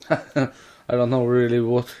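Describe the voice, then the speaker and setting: Slow-talking Swedish man, halting English. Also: medium pitch, monotone, dark tone, dull audio. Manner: halting, loosely worded English. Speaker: podcast co-host. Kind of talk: casual fan podcast chat.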